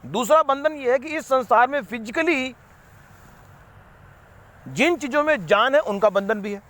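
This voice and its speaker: A man's voice: very high-pitched, animated, a little slow, fairly bright tone. A man giving an unscripted spiritual talk, rambling and repeating himself, slipping English words into his sentences. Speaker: spiritual speaker.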